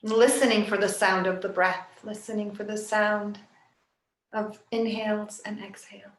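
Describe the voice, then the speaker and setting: A woman yoga nidra teacher leading a guided relaxation meditation in a soft, soothing, unhurried way with long pauses. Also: mid-range voice, little pitch movement. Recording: roomy, echoing sound.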